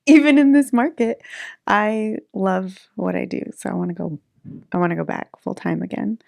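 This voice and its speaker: A woman's voice: medium pitch, moderate pace, gravelly, a little mellow, no room sound. A woman in her thirties, a real estate agent chatting casually in an interview, laughing easily between fillers. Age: 30s.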